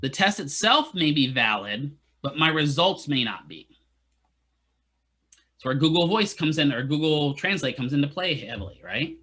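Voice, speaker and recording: A man lectures a class of therapy students in a loose, joking way and checks in constantly with the room. His voice is bright and forward, and it sits a little high for a man. He sounds at ease in front of his students. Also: lively intonation, moderate pace, husky. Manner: casual, asks "right?" often, jokey asides to students. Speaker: physical therapy instructor.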